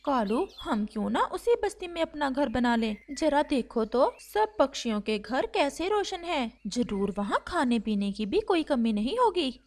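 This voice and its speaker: Woman voicing a pushy, high-pitched female cartoon crow. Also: big pitch swings, unhurried, hardly any pauses, darkish tone, full character voice. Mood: eager. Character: scheming, pushy female crow wife, sweet-talking. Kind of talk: Hindi cartoon storybook dialogue.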